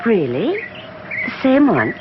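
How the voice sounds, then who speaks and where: Swooping, teasing young heiress. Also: female voice, mid-range voice, wildly swooping pitch, slow pace. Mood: nonchalant. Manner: breezy, teasing, theatrical. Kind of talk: old-time radio drama acting. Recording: muffled, clearly noisy 1970s radio broadcast.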